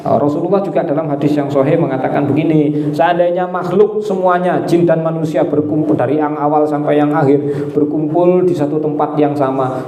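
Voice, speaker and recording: A man's voice: fairly high pitch, mellow. A man giving a conversational religious study talk to an audience. He tells stories, asks his listeners questions and repeats key phrases. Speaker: Islamic preacher. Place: hall with a live audience. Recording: echoey room with an audience.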